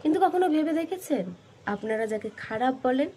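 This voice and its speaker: Woman acting a high-pitched, wounded, defiant sex worker. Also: expressive, mellow. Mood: defiant, anguished. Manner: emotional, rhetorical, with heavy dramatic pauses. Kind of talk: theatrical dramatic monologue.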